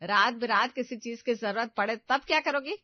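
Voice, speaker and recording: A woman's voice: on the high side, normal speed, lo-fi sound, lightly acted. A woman voicing a scolding older mother-in-law in a family story cartoon. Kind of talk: Hindi moral-story cartoon dialogue.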